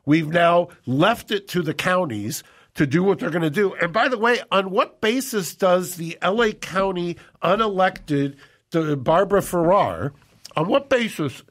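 Older man, fairly high voice, indignant, rhetorical questions, studio podcast mic. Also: animated, a little slow, fairly bright tone. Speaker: co-host. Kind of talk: casual podcast talk. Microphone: studio boom mic with headphones.

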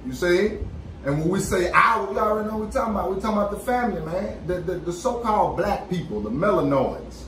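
Middle-aged man, American accent, high voice, emphatic podcast talk, sounds off-mic with faint noise. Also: animated, fast, a bit bright, roomy sound, recorded from a distance, poor audio. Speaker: podcast co-host. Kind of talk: casual preaching-style discussion. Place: small podcast studio, indoors. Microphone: boom-arm studio microphone with headphones.

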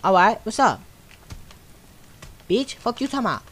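A woman's voice: mid-range voice, big pitch swings, moderate pace. A woman playing an online role-play game, trash-talking in aggressive, repetitive slang. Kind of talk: taunting in-game trash talk.

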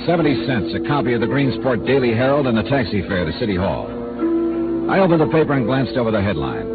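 An actor playing a hard-boiled, wry insurance investigator, narrating in a clipped, dry 1950s radio drama style. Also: male voice, mid-range voice, natural voice. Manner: clipped, dry, matter-of-fact. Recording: tinny old radio transcription with music.

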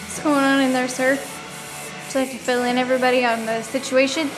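A woman's voice: high-pitched, flat delivery, a little fast, loud music behind the voice, low-quality recording. A woman filming from behind the camera and teasing the others in casual banter. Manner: teasing, wry commentary. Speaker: camera operator and co-host. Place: backyard and home garage.

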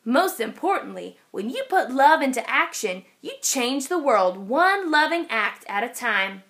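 A woman's voice: high voice, sing-song, a little slow, fairly bright tone, heavily acted. A woman voicing a kind female bus driver in a storybook, giving a child advice in an instructive, emphatic, encouraging manner. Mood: encouraging, firm.

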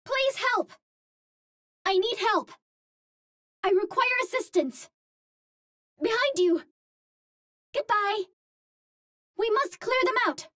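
A woman's voice: very high-pitched, expressive, slow, long pauses, fairly bright tone, no room sound, studio quality, full character voice. An actress playing a cute, naive young Martian space healer, speaking in a sweet, girlish, precise and formal way. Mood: earnest, alert.

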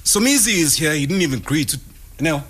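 A man's voice: on the high side, animated, a little fast, a bit bright, husky. A man hosting a morning radio show from a studio kitchen, teasing and playful, speaking into a studio mic. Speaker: radio host. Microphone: studio mic with headphones.

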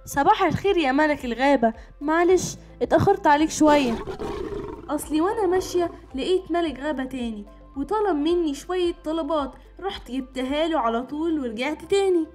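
A woman plays a small, clever girl rabbit from a storybook, high and self-assured. The accent is Egyptian, with gentle music behind. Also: animated, fairly quick. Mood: sly, polite. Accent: Egyptian Arabic.

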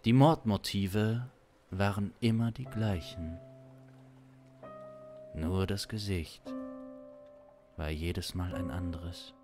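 Guy with a really low voice telling a crime story super slow, suspenseful, music playing behind. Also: steady tone. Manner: deliberate, suspenseful, with long pauses. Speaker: improvising audio-drama narrator. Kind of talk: improvised crime story narration. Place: small studio room. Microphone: close studio condenser mic. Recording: music under the voice. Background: instrumental music.

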